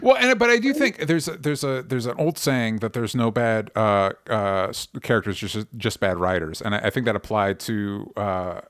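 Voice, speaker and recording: Halting man in casual podcast debate. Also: fairly low pitch, fairly bright tone. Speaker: podcast co-host. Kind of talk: casual opinionated discussion.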